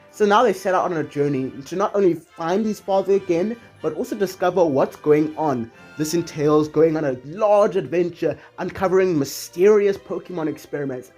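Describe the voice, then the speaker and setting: A video essayist with a slightly husky voice, delivering scripted movie commentary in a conversational way with casually wry asides. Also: medium pitch, dark tone.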